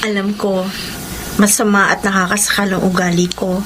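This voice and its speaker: A woman's voice: mid-range voice, flat delivery, normal speed, noisy background, lightly acted. An actress playing a frank, repentant young mother in a Filipino radio drama, with a Filipino accent. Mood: remorseful. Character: candid former rival, repentant young mother.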